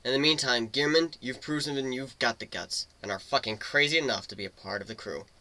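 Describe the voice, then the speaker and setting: A man's voice: mid-range voice, brisk, bright, forceful tone, poor audio. A man voicing a hot-tempered male griffin crew leader, blunt and sweary, in a story reading. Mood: solemn.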